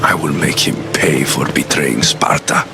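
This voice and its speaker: A man's voice: very deep voice, unhurried. A man playing a confident, wry, tough mercenary in a video game cutscene, speaking in an assertive, dry way. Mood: determined. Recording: dramatic music behind the dialogue.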